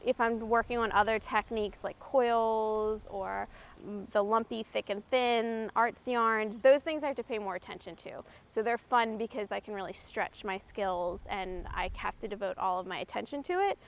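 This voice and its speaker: A woman in her thirties from Maryland, a fiber artist explaining her craft in a relaxed, chatty way with frequent ums. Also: mid-range voice, normal speed, vocal fry. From Maryland, USA. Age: 30s.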